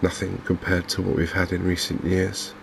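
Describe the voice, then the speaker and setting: Softly spoken, flat-toned low English man. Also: gravelly. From England. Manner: unhurried, hesitant, gently musing. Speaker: gaming video host. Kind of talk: softly spoken ASMR game commentary. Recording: faint background noise from open windows and fans.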